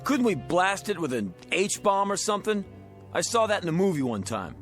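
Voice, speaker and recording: A man's voice: high-pitched, sing-song, normal speed, soft background music. An actor playing a brash, streetwise gambler and con man in a radio drama. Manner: streetwise, wisecracking.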